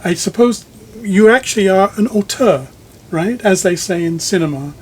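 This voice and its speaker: A man with a noticeably high-pitched voice leads a technical interview. He talks conversationally, choosing his words thoughtfully and pausing mid-phrase as he builds each question. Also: lively intonation, darkish tone, slightly rough. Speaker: magazine editor interviewing a speaker designer. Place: listening room in a home.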